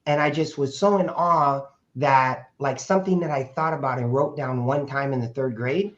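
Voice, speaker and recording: Hoarse raspy man, muffled lo-fi audio. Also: medium pitch, normal speed, a bit bright. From Portland, Oregon, USA. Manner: rambling with frequent fillers and restarts. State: hoarse from speaking over the weekend. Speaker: guest. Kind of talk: casual conversational storytelling. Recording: dull lo-fi audio.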